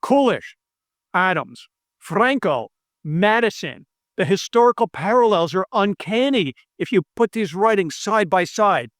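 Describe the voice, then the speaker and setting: American economist guy, kinda high voice, dry close sound, keeps pushing the same point. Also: expressive, unhurried, a bit bright, no echo. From United States. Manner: emphatic, repeats his key claims, stumbles over foreign names. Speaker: monetary economist presenting a video lesson. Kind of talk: earnest persuasive talk to camera. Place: indoor room with brick and stone walls.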